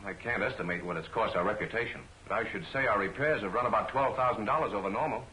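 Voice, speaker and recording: An actor playing a worried, formal company executive, courteous and firm, in 1940s film serial style. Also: male voice, lowish voice, fast talker, pushed and bright, rough recording. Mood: earnest, concerned. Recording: hissy old film soundtrack.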